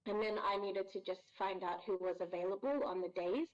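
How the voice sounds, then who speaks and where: A woman being interviewed as a voice actor, chatty and quick to laugh, with lots of ums. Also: mid-range voice, highs cut off. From Australia. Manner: warm, chatty, laughs easily, many ums. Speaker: guest voice actor. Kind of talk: casual interview chat.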